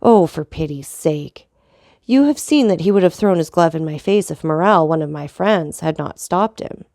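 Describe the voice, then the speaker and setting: A woman doing an anguished mother, pleading, higher voice, dry close sound. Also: lively intonation, moderate pace, darkish tone, no echo. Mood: desperate. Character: desperate noblewoman pleading for her son.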